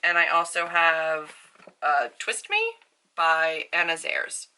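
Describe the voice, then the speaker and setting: Bright, low-pitched woman chatting casually. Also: expressive, clear, resonant tone, low-quality recording. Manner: informal, upbeat, peppered with "like" and quick asides. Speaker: book vlogger and author. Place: indoors at a desk at home.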